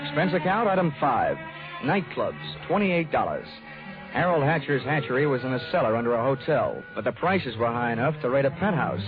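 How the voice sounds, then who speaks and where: An actor playing a wisecracking hardboiled private investigator in 1940s radio style, delivering dry, wry, clipped quips. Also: male voice, mid-range voice, big pitch swings, normal speed, lightly acted. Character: wisecracking hardboiled male private investigator narrating his case. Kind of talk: 1940s radio detective acting. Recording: narrow, tinny 1940s radio recording with music.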